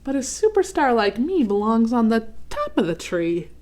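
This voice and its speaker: A woman's voice: a little high, big pitch swings, moderate pace, darkish tone, a little hiss or hum. A woman voicing a boastful, jazzy male Christmas ornament from a storybook, with a showy, dismissive manner.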